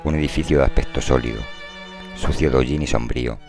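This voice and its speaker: A man narrating a dramatized novel in a measured, literary storytelling style. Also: rumbling low, gravelly. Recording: prominent music under the voice.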